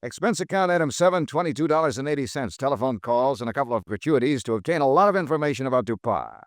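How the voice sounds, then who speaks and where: A hard-boiled male insurance detective, played by a man, narrates and questions people in a clipped, wry radio-drama manner. His voice sits in a middle pitch but moves around a lot. The sound is dry and close. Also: expressive, quick pace, no room sound, natural voice. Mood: brisk. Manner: clipped, dry, wisecracking delivery. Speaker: insurance investigator narrating his own case. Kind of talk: 1950s radio drama acting.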